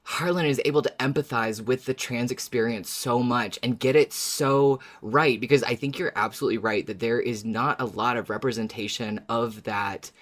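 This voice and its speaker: A podcast host talking casually and earnestly, searching for words in a halting way. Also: mid-range voice, a bit bright.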